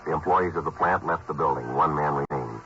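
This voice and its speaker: An actor playing a terse male police detective in a 1950s radio drama, narrating his case in a clipped, flat, matter-of-fact way. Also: rumbling low, brisk, soft background music. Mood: flat narration. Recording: muffled old radio broadcast with hiss.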